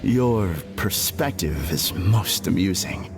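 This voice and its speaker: A man's voice: sits low, lively intonation, slow, full character voice. An actor playing a serene, courteous male villain in an anime video game, speaking formally and without any ruffle. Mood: detached amusement. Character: serene, polite male villain who has shed negative emotions. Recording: music playing behind the voice.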